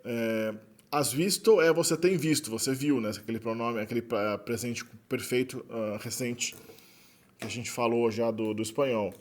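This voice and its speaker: A man with a Brazilian Portuguese accent giving an explanatory linguistics lecture in a relaxed way, often adding tag questions and correcting himself. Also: mid-range voice, moderate pace.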